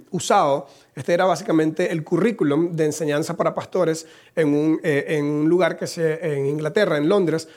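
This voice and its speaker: A male pastor delivers a chatty sermon, his voice slightly hoarse from a cold. He jokes at his own expense between points, and his speaking voice sits somewhat high for a man. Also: a little mellow. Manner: humorous, self-deprecating, chatty asides. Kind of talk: conversational sermon. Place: church in Costa Rica.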